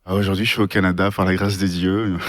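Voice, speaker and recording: Very low-voiced young man, hesitant. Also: little pitch movement, moderate pace, a bit bright, dry recording, clean studio sound. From Congo, raised in Belgium. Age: 20s. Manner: hesitant, many "euh" fillers, laughs shyly. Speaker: podcast guest. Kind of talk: personal testimony in casual chat.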